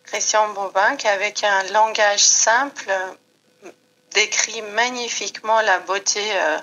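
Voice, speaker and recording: A middle-aged woman from Iran, speaking French with a Persian accent, talks reflectively in an interview with many pauses and fillers. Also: mid-range voice, pushed and bright, muffled recording, a little hiss or hum. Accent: foreign accent in French. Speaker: guest. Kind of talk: slow reflective interview talk.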